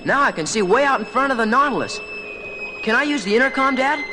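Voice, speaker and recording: A male performer playing an eager, wide-eyed young boy, a scientist's son, in an excitable vintage cartoon adventure style. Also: extremely high pitch, quick pace, noisy background. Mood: excited wonder. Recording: music playing behind the voice.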